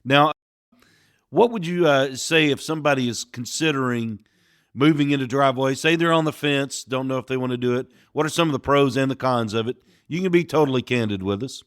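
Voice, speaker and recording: Male podcast host, steady and easygoing, dry studio sound. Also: medium pitch, fairly quick, smooth, no echo. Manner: affable, steady, with filler "uh"s. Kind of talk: interview podcast hosting.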